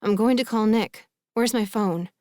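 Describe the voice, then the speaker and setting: A woman's voice: mid-range voice, moderate pace, a little mellow, no echo, studio quality, natural voice. A woman voicing a headstrong young woman in love, insistent and emotional, in an audiobook. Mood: firm, urgent. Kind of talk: audiobook character dialogue.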